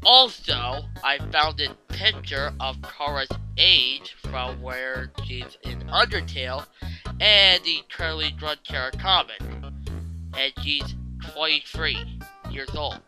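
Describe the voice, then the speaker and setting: A man's voice: on the high side, slow pace, over music, low-quality recording. A man hosting an online video, giving casual fan-theory commentary in a jokey, sweary way.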